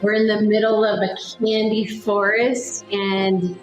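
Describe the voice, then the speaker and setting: Slow-paced woman in an echoey room, encouraging. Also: medium pitch, little pitch movement, soft background music. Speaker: guest, mother of young author. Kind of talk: casual playful improvised storytelling.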